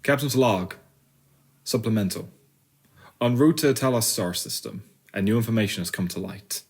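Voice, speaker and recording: A man's voice: lowish voice, moderate pace, slightly rough. A man playing an authoritative starship captain in a science fiction audio drama. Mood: commanding, measured. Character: authoritative starship captain addressing his crew.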